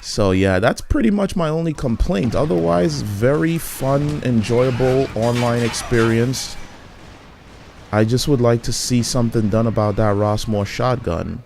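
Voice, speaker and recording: A man's voice: mid-range voice, a little slow, vocal fry, a little mellow, a little hiss or hum. A man giving casual, rambling commentary over his own gameplay, often restarting his sentences. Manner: rambling, frequent restarts and fillers.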